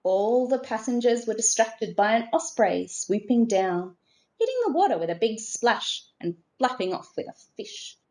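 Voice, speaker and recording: A woman narrating a children's storybook expressively, in a measured way with dramatic emphasis. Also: a little high, sing-song, darkish tone. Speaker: storybook reader.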